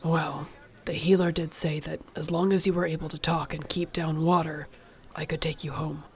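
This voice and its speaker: A woman playing a protective, devoted orc warrior girlfriend in an intimate audio roleplay, speaking softly, breathily and earnestly. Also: low voice, little pitch movement, normal speed. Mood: caring, firm.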